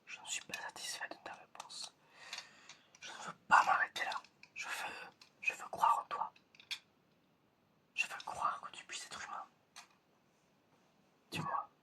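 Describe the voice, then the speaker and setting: A man playing a stern, menacing examiner in an ASMR roleplay, whispering slowly and deliberately. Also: bright, forceful tone. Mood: stern, solemn. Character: stern, menacing examiner testing a suspected robot.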